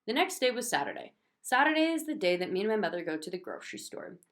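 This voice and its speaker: A woman's voice: mid-range voice, sing-song, fast, fairly bright tone. A woman reading a children's book aloud expressively, narrating in a child's first person.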